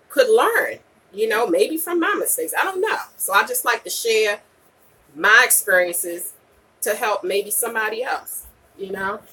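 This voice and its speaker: Forceful, husky woman in her late forties. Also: on the high side, distant mic, rough recording. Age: late 40s. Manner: emphatic and repetitive, punctuated with "okay?" and "you know"; candid, colloquial, slangy. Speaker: vlogger and podcaster chatting live with viewers. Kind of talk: casual livestream chat giving personal advice.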